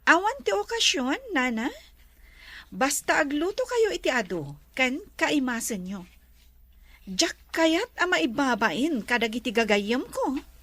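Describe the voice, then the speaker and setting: An actress playing a lonely, pampered rich young mistress of a mansion in an Ilocano radio drama. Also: female voice, high-pitched, big pitch swings, measured pace, sharp tone, no room sound, heavily acted. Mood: bossy, breezy.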